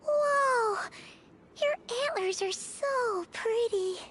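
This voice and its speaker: Casting: an innocent, curious little game companion, female, extremely high squeaky voice, very slow wide-eyed exclamations. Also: noisy background, full character voice. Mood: delighted. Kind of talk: scripted video game dialogue.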